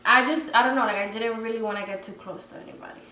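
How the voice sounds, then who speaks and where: A woman's voice: on the high side, quick pace, bright, forceful tone. A young woman in her twenties from the Dominican Republic, a podcast guest speaking hesitantly and reflectively with many fillers. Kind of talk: casual interview chat. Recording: roomy echo, mic at a distance, faint noise.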